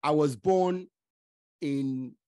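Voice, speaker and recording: A middle-aged man from Nigeria, hosting a podcast from a home study with a studio mic, speaking deliberately with stuttering restarts. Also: a little high, warm tone. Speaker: podcast host. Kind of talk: casual interview chat. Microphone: studio mic with headphones.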